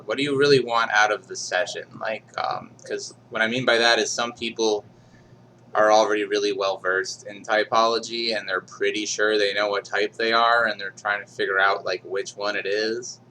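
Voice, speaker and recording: Bright-voiced man asking casual questions. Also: fairly low pitch, moderate pace, rough recording. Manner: relaxed, conversational, frequent ums and likes. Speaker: interviewer running typing sessions. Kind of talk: casual interview. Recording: faint hum behind.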